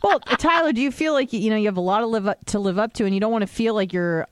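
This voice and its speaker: Brief: radio co-host, gentle reasoning tone, mid-pitched voice. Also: quick pace, noisy background. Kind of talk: casual radio banter.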